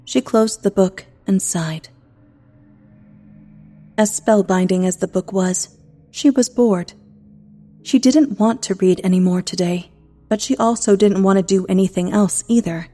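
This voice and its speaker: Mellow woman narrating a story. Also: medium pitch. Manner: storybook telling, measured. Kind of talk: scripted fiction narration. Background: music score.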